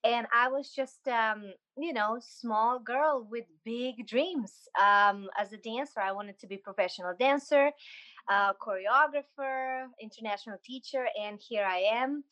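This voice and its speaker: A woman's voice: a little high, unhurried, a bit bright. A woman from Slovenia with a Slovenian accent, speaking as a podcast guest, a dancer and choreographer, in enthusiastic, gushing casual chat.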